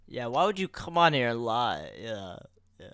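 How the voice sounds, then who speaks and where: A man's voice: mid-range voice, very animated, moderate pace, a bit bright, clean tone, no echo, studio quality. A man talking casually as co-host of a podcast, addressing listeners in everyday conversation. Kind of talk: casual chat.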